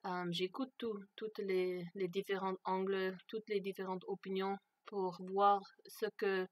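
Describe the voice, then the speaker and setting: A woman's voice: mid-range voice, level tone. A woman for whom French is not her first language, speaking unscripted to camera, hesitant and searching for words with many fillers. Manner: slow, thoughtful. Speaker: vlogger. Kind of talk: unscripted personal talk to camera.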